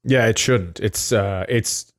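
A man's voice: lowish voice, slow pace. A man co-hosting a comedy podcast in casual banter, wry and teasing, often poking fun at himself. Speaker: podcast co-host.

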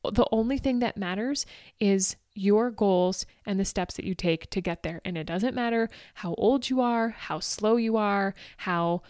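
A woman's voice: mid-range voice, a little slow, darkish tone, clear, resonant tone, no room sound, clean studio sound. A woman in her forties hosting a motivational podcast, talking chattily and upbeat to her listeners, laughing often. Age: 40s. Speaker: podcast host. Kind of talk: motivational solo podcast talk.

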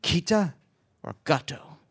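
A man hosting a comedy talk show with guests, playful and laughing through quick banter. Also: a little high, expressive. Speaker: host.

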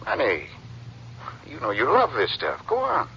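An actor playing an outwardly devoted, anxious rancher husband, folksy and solicitous, in a 1950s radio western. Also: male voice, medium pitch, wildly swooping pitch, moderate pace, creaky, pushed and bright, full character voice. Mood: coaxing, soothing. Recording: muffled, noisy 1950s radio broadcast.

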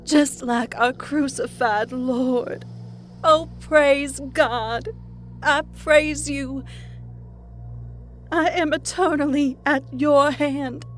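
A woman narrator voicing a fanatical, mocking woman patient in religious ecstasy, proclaiming in drawn-out phrases for an audiobook. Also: high-pitched, steady tone, a little hiss or hum, pitched above the performer's own voice. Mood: ecstatic. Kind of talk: dramatic audiobook character acting.

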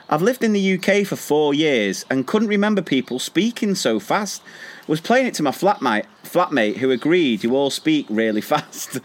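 A British man and teacher co-hosting a casual podcast, chatty and quick to laugh as he reads listener stories. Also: mid-range voice, a bit bright. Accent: British English. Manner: chatty, laughs often, trails off and restarts. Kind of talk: casual podcast chat, reading listener messages.

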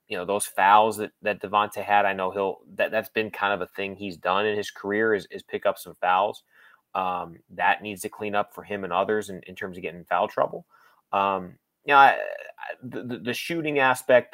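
A low-pitched man speaks as a guest sports analyst in a close, dry recording. His delivery is conversational and halting, doubling back on phrases as he thinks aloud. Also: fairly bright tone, no echo.

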